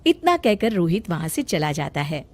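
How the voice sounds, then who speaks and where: A woman's voice: on the low side, sing-song, normal speed, darkish tone. A woman reading scripted storybook narration for a cartoon, as the storyteller.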